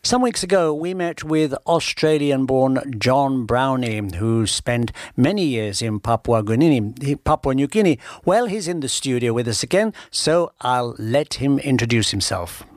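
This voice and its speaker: A man presenting and interviewing in a measured, polite, scripted radio manner. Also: medium pitch, animated, no room sound. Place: studio.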